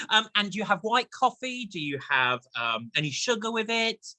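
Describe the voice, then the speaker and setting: A man's voice: high voice, bright, forceful tone, dry recording. A man hosting an online chat show in a chatty, playful, enthusiastic and jokey way.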